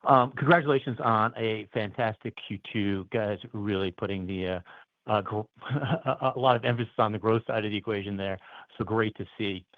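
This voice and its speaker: A man's voice: fairly low pitch, normal speed, no room sound. A man working as a financial analyst, asking questions off the cuff on an earnings call. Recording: dry, slightly dull conference line.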